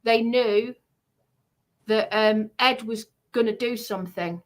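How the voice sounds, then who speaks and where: A woman's voice: on the high side, slow. A woman giving a heated personal account on a livestream, speaking in an indignant, emphatic way and repeating herself for stress.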